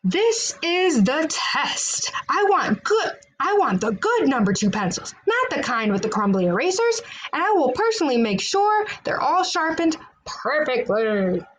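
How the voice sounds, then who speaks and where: A woman's voice: high-pitched, big pitch swings, unhurried, hardly any pauses, slight background noise, voice pushed up. A woman voicing a bossy, fussy male school principal in a children's storybook, demanding and emphatic. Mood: bossy, insistent.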